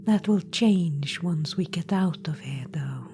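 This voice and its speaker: A woman's voice: low-pitched, animated, slow pace, over music, heavily acted. A woman voicing an ancient undead witch-queen spirit in an ASMR roleplay, whispering in a purring, patronizing way. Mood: whispered, scheming. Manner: purring, patronizing, calls listener darling.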